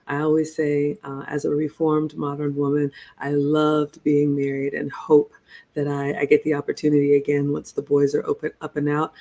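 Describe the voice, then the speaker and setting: Host, woman, 40s; lectures like a mom, even tone, soft mellow timbre. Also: deep voice. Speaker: host of a reaction webinar.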